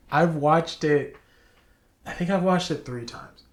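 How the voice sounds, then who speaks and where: A young man co-hosting a casual chat about video game movies, laughing often and tossing in quick exclamations. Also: fairly high pitch. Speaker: podcast co-host.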